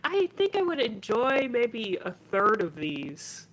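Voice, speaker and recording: A woman co-hosting a podcast, chatting casually in halting, hesitant phrases. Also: fairly high pitch, wildly swooping pitch. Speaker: podcast co-host.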